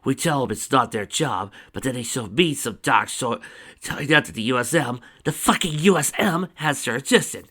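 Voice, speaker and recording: A man's voice: mid-range voice, brisk, fairly bright tone, slight background noise, lightly acted. A man voicing an irritated, foul-mouthed law officer in a story reading. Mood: irritated, scornful.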